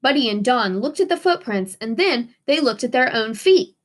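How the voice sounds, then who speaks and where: A woman's voice: fairly high pitch, lively intonation, moderate pace. A woman teacher reading a children's story aloud expressively, with dramatic emphasis for young listeners.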